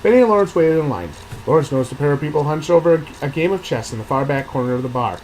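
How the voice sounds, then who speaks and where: A man's voice: on the high side, expressive, rapid-fire, darkish tone, slight background noise, rough recording. A man reading fiction aloud as its author, with casual asides as he thinks aloud about edits. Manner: thinks aloud, rereading and revising sentences. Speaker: author reading own novel draft and commenting on edits.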